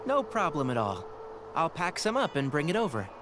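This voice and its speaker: A man's voice: fairly high pitch, wildly swooping pitch, normal speed. An actor playing a friendly, organised young male forest ranger in a video game, cheerful and welcoming, with outdoor noise behind. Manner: cheerful, clear explaining.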